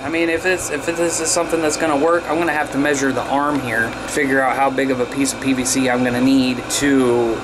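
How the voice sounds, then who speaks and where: A man's voice: medium pitch, level tone, brisk, noisy background. An American man in his thirties chatting informally and upbeat in a rambling hands-on video blog, recorded on a handheld camera in a garage workshop. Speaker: video blogger and CB radio hobbyist. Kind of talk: casual hands-on vlog chat. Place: cluttered indoor garage workshop. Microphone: handheld camera at arm's length.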